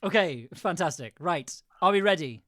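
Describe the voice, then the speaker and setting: A woman's voice: on the low side, expressive, normal speed, fairly bright tone, no echo, clean studio sound. A woman hosting a casual live panel, informal and often repeating phrases as they go. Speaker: host.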